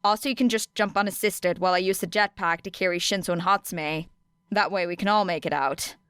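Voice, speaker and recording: A woman's voice: lowish voice, fairly even intonation, a little fast, a bit bright, smooth, no room sound, clean studio sound, natural voice. A woman playing a tough, capable young girl in an audiobook, blunt, wry and matter-of-fact. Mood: decisive.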